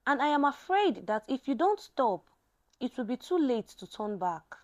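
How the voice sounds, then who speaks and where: Woman voicing a worried, pleading man, high-pitched. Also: very animated, normal speed, darkish tone. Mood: frustrated, afraid. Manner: earnest, pleading. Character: worried, frustrated man pleading with his close companion.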